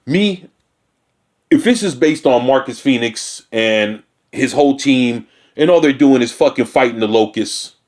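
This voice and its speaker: A man talks through a news piece in a loose, unscripted way, reading parts aloud and then cutting in with blunt, profane opinions. His voice sits at an ordinary middle pitch. He often trips over and restarts his sentences as he goes. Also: animated, measured pace, darkish tone. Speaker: video commentator. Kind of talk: casual reaction rant reading news aloud.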